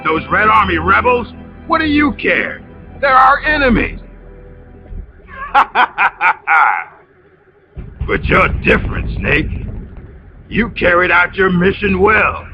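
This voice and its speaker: Creaky-voiced, smug military traitor. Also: male voice, high-pitched, expressive, slow pace, long pauses, full character voice. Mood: mocking. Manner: condescending, taunting, theatrical. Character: smug, scheming traitorous male military officer, bitter and mocking. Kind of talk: dramatic video game cutscene acting. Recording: muffled game audio under loud music.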